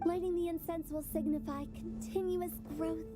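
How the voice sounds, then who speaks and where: A woman's voice: extremely high pitch, steady tone, moderate pace. A woman playing a playful, spirited teenage girl who hosts with breezy, theatrical ceremony in a video game. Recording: loud music behind the voice. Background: music.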